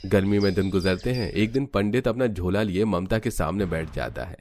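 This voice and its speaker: Man, deep voice, scripted storytelling, cartoon narrator, slight background noise. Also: normal speed, darkish tone.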